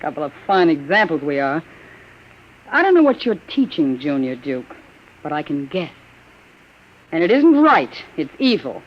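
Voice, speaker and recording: A frightened, weary nursemaid. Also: female voice, low-pitched, big pitch swings, normal speed, pauses often. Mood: fearful. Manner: tense, anxious. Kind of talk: 1950s radio drama acting. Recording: muffled old radio broadcast.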